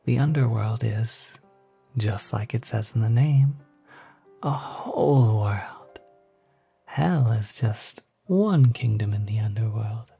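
A breathy, polite demon receptionist acted by a performer in an ASMR roleplay, welcoming a guest with soft salesmanlike reassurance. The delivery is slow and mellow, faintly insidious beneath the courtesy, with music underneath. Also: mid-range voice, heavily acted. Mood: gently reassuring.

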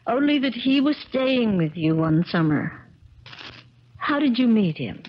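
An actress playing a concerned, loyal niece in a 1970s radio drama, speaking earnestly with careful diction. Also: female voice, a little high, sing-song, measured pace. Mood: probing. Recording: dull, crackly 1970s radio recording.

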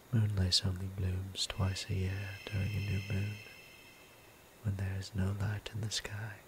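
A man playing a gentle, courteous, centuries-old vampire priest in an intimate ASMR roleplay, speaking formally and tenderly in a hushed half-whisper. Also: lowish voice, creaky, background noise.

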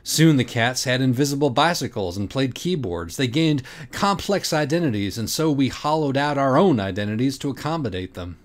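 A man reading a parody audiobook in a dramatic, deliberate, mock-earnest way. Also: mid-range voice, animated. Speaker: audiobook reader. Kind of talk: dramatic scripted narration of a parody children's book.

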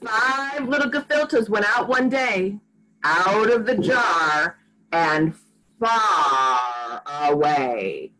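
A woman in her forties reading a picture book aloud to young children in an expressive, sing-song, deliberate way, recorded at home on a computer mic. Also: mid-range voice, rough recording. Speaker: rabbi reading to preschoolers. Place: small furnished room at home. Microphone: computer microphone on a video call.

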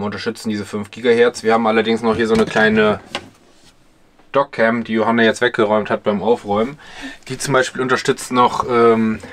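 A man's voice: fairly low pitch, a bit bright, a little hiss or hum. A man in his thirties chatting casually while reviewing gear inside a camper van, explaining with lots of fillers. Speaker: vlogger. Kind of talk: casual product review vlog.

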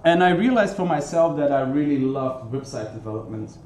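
A man's voice: mid-range voice, lively intonation, brisk, a little mellow. A young man from Belgium with a Belgian accent, giving a conference talk in English, earnest and full of ums and restarts. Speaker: conference speaker. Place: conference hall. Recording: echoey hall with faint noise.

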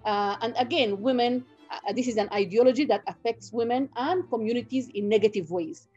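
A middle-aged woman from Sudan, a native Nubian speaker, lectures as a professor over a video call from home. Her voice is mellow and relaxed, and she talks in a measured way, pausing on fillers and restarting phrases. The audio is dull, with faint music behind her. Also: on the high side, breathy edge. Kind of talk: online guest lecture. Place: home room.

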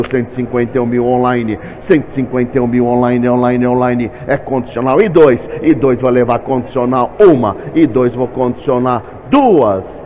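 Fast-chanting Brazilian auctioneer man. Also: medium pitch, darkish tone, slight background noise. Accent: Brazilian Portuguese. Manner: rattles off bids in fast repetitive chant, calling out raises. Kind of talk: rapid vehicle auction calling.

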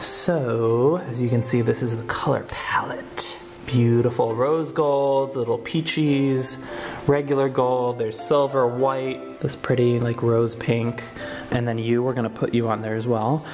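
A young man chatting in a campy, animated way as he decorates his living room, filming himself at arm's length. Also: mid-range voice, unhurried. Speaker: vlogger. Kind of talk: casual home decorating vlog. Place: large bright living room. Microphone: camera held at arm's length. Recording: roomy room with music behind.

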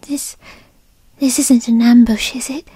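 A high, bright voice of a young fox spirit, played by a woman, skittish and bratty. She speaks slowly in halting, breathy stammers, as in an intimate ASMR roleplay. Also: steady tone, dry recording. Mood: startled, suspicious. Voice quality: breathy, often whispery. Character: young bratty female fox spirit, timid but defensive. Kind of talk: ASMR roleplay, scripted character acting.

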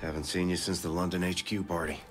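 An actor playing a gruff, weary American soldier hero in a video game, muttering in terse, brooding lines. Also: male voice, deep voice, level tone, quick pace, soft background music, close to an everyday voice. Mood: subdued. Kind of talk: video game cutscene acting.

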